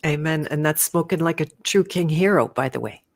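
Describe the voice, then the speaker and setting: A woman's voice: deep voice, brisk. A woman hosting a casual interview over a video call, affirming her guest and restarting her sentences often. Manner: warm, affirming, trailing restarts. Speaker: podcast host.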